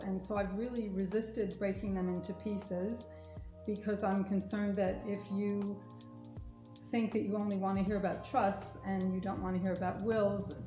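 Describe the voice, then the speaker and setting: A woman's voice: medium pitch, warm tone, echoey room. A woman lawyer speaking to viewers in an earnest, careful way, urging them to listen to everything she explains. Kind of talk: informational talk to viewers.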